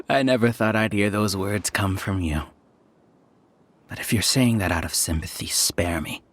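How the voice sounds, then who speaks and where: A man's voice: lowish voice, normal speed, a bit bright. A man voicing a proud, bitter researcher villain in a video game, resentful and dramatic in delivery. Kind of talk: scripted video game voice acting.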